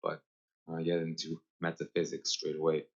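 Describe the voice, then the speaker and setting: A thirty-year-old man from Serbia with a Serbian accent, talking casually and reflectively as an interview guest, pausing as he finds his words. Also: a bass voice, completely flat delivery, gravelly, muffled recording. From Serbia, Novi Sad. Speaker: podcast guest, holistic guide.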